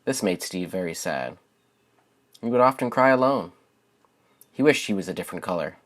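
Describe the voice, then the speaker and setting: Low-pitched man, young adult. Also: animated, normal speed. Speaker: channel host and children's book author. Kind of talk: casual spoken introduction to viewers.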